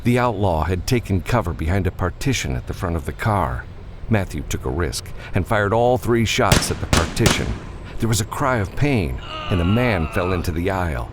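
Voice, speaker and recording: A man's voice: deep voice, lively intonation, normal speed, background noise. A man reading scripted fiction as an audiobook narrator.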